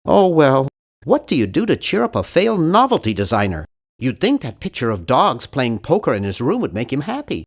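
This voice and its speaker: A bookish young nerd from an adventure game, timid and polite. His nasal voice swings widely up and down in pitch, and he sounds awkward, fussy and earnest in every line. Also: wildly swooping pitch, brisk, slightly rough, exaggerated voice. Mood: polite, deadpan. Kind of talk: video game character voice lines.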